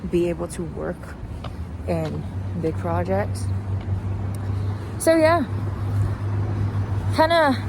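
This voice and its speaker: A young woman talking casually and reflectively in a rambling vlog, recorded outdoors while walking. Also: medium pitch. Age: young adult. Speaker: vlogger. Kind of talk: casual chatty vlog monologue. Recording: noisy outdoor street with traffic.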